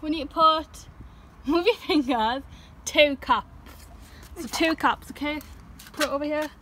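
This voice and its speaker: A woman's voice: sits high, unhurried, fairly bright tone. A girl filming a car wash outdoors, reading instructions and guiding a younger child. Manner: instructing and encouraging. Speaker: vlogger filming. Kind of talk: casual chat. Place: outdoors on a driveway. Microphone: handheld phone camera. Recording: noisy outdoor phone recording.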